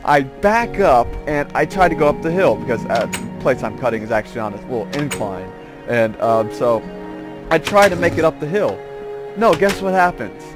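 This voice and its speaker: A man telling a casual story as he hosts gameplay, rambling and halting, often repeating and restarting his phrases. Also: a little high, animated, music in the background. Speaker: host of a gaming series.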